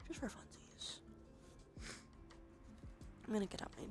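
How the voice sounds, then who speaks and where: A woman streamer chatting softly in an ASMR stream, often dropping into a whisper. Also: unhurried. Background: music.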